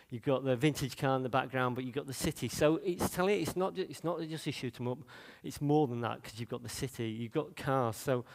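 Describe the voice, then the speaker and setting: A man from Manchester with a Manchester accent, speaking at a conference in a mid-pitched voice. His delivery is loose and conversational, full of ums, restarts and asides as he gives his talk. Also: in a large hall. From Manchester, England. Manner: loose, chatty delivery with many fillers and restarts.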